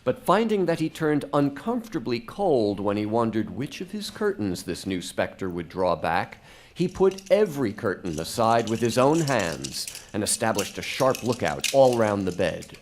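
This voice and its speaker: A man's voice: lowish voice, big pitch swings, normal speed, slight background noise. A man narrating a live radio drama as a scripted, dramatic storyteller. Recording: faint music behind.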